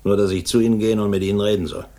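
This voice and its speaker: An actor playing a tough, terse middle-aged ex-boxer who owns a hotel, husky and blunt, in a 1960s German radio crime drama. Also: male voice, fairly low pitch, little pitch movement, very fast, mellow, close to an everyday voice. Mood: stern, probing.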